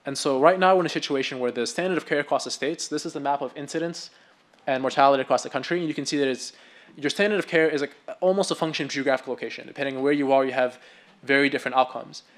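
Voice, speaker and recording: A man presenting his research at a medical symposium, talking quickly and with enthusiasm, often restarting his phrases. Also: mid-range voice. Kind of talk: conference talk.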